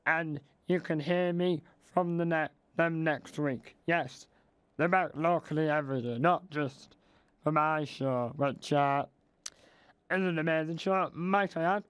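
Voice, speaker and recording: Guy doing a nervous old-timer dj, high-pitched, trips over words, hoarse-ish, dry close sound. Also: a little slow, no echo. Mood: flustered cheery. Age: older. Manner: excitable and flustered, tripping over words. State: losing his voice. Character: bumbling older male local radio DJ. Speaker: radio host. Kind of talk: comedic spoof radio show.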